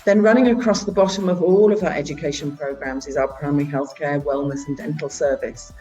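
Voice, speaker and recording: A woman who heads a charity, presenting at a virtual annual meeting in a halting way, with frequent filler sounds. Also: low voice, steady tone, dark tone, highs cut off, faint music behind. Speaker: head of a charity organisation.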